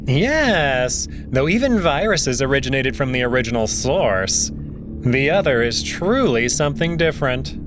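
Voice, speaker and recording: A man voicing a young male alien prince and diplomat, speaking in a measured, formal, unhurried way. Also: medium pitch, big pitch swings, music in the background. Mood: cautious.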